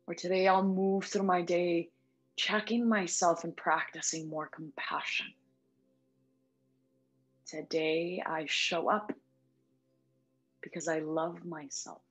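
Low-pitched woman guiding meditation over music. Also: little pitch movement. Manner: gentle, soothing, unhurried with long pauses. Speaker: yoga nidra meditation instructor. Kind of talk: guided meditation. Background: background music.

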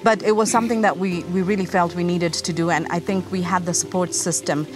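Woman from Kenya, low voice, music behind. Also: steady tone, fairly quick. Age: 30s. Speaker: company co-founder. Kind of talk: casual presentation to camera. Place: outdoors in a garden.

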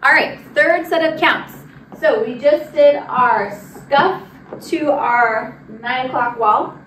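A woman's voice: sits high, takes it slowly, a bit bright. A young woman giving step-by-step line dance instruction, counting out the moves as she demonstrates them. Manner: directive, demonstrating while moving. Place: large indoor dance studio with wooden floor. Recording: roomy, distant mic with noise.

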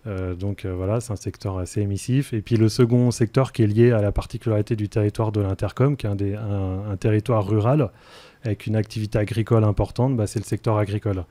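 Male radio guest, forties, mellow and dry-sounding, explanatory but hesitant delivery with frequent fillers. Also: fairly low pitch, steady tone, quick pace, dry recording. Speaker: interview guest, energy transition project officer. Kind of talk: explanatory radio interview answers. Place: radio studio, indoors. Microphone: close studio microphone.

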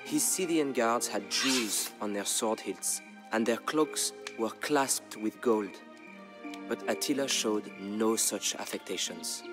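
A man playing a solemn storyteller in a strategy game, narrating war history in a measured, dramatic, deliberate way. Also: fairly low pitch. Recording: narration over background music. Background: music.

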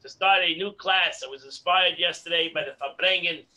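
A middle-aged man teaching a daily religious class over a video call from his home study, animated and repeating words for emphasis. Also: a little high, a little fast. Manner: animated, repeats words when stressing a point. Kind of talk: online Torah class. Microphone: video call webcam.

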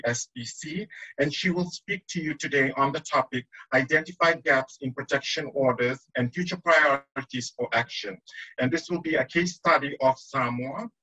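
A man's voice: mid-range voice, bright, forceful tone. A man moderating a conference session, polite and formal, with frequent hesitations. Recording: dull video call audio.

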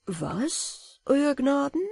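A woman's voice: high-pitched, very animated, vocal fry, a little mellow, no echo, studio quality, pitched above the performer's own voice, exaggerated voice. A woman voicing a polite, wary fisherman who speaks in a deferential, astonished way, reading a storybook. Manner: deferential, astonished, slow and careful. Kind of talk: storybook character voice.

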